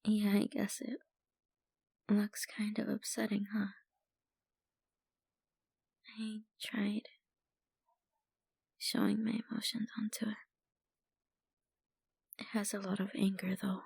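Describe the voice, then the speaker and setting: A woman playing a troubled young painter in an intimate ASMR roleplay, speaking softly and haltingly with sighs and trailing phrases. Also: mid-range voice, level tone, vocal fry, husky, dry recording, clean studio sound, full character voice. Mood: quiet, wistful. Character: troubled young woman painter, a stranger venting family worries.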